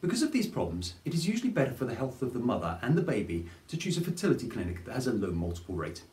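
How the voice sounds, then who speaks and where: A man, presenting a scripted explainer to camera in a clear, measured way. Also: medium pitch, big pitch swings, a little mellow. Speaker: fertility advice presenter. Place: plain studio with grey backdrop. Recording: roomy studio echo.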